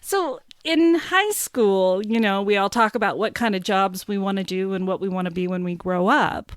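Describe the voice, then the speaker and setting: A woman's voice: medium pitch, normal speed, clean tone, no room sound, studio quality. A woman in her fifties hosting a podcast, asking a guest questions in a chatty way. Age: 50s. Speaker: podcast host. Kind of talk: casual interview chat.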